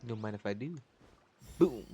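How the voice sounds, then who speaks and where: A woman's voice: a bass voice, lively intonation, normal speed, warm tone, husky. A woman gamer giving casual, laid-back playthrough reactions in brief asides. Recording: game music behind the voice.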